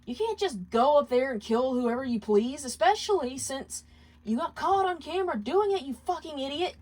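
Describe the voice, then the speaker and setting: A woman voicing a sharp-tongued, scornful female demon coworker in a fan fiction reading, scolding and insulting. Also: sits high, expressive, moderate pace, voice pushed up.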